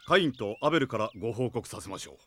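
A man's voice: medium pitch, animated, racing. A man playing a stern, loyal older knight commander in a Japanese radio drama, with archaic, authoritative speech. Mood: grave.

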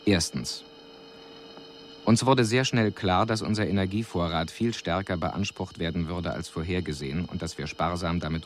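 An extraterrestrial envoy with a deep voice. He reads out an official report in a cool, precise and measured way, as in a radio play. A faint noisy ambience sits behind the voice. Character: male alien envoy reporting coolly on a doomed city. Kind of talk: scripted radio drama, formal report. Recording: radio drama recording with audible background noise.

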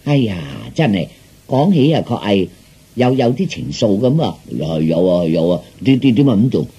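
A man's voice: lowish voice, lively intonation, normal speed, mellow, husky, voice pushed down. A man voicing a vain, gullible old rich man in Cantonese, stammering and repeating his words. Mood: hesitant wonder.

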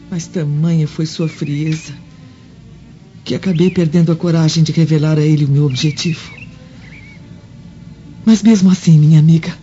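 A woman's voice: sits low, dark tone, natural voice. An actress playing an anguished, pious Roman noblewoman in a Brazilian Portuguese radio drama, earnest and formal. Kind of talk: dubbed radio drama acting. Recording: dull lo-fi audio with music underneath.

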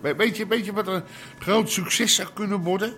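A man's voice: high voice, fairly even intonation, moderate pace, a bit bright, slight background noise. A man playing a tough, proud older crime boss in a radio drama, blunt and colloquial. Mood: blustering. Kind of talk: naturalistic Dutch radio drama acting.

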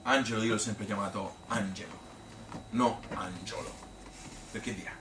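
A man's voice: lowish voice, steady tone, unhurried, a bit bright. A man playing a chatty, self-amused Tuscan woman who rambles through her courtship memories in a folksy theatrical monologue. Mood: fond, insistent. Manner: rambling, folksy, filler-laden. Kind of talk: theatrical monologue, colloquial storytelling. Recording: echoey room, distant mic, hissy noise.

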